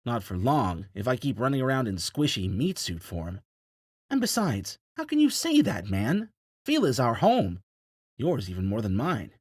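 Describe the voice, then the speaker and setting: A man's voice: medium pitch, very animated, normal speed, no echo, clean studio sound, pitched above the performer's own voice. A man voicing a hungover, wry male spaceship drifter who teases his robot. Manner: wry, teasing.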